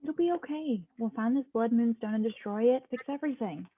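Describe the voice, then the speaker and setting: A woman's voice: on the high side, brisk, slightly rough, phone-quality sound. A woman playing an earnest, eager teenage girl on a magical quest in a script read. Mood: reassuring.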